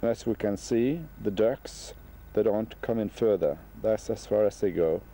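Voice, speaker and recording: A man talks slowly and haltingly over a home video, his voice low and very dark. It was caught on a camcorder outdoors by a lake, with a faint hiss and hum behind him. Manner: slow, halting commentary with pauses. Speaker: travel narrator.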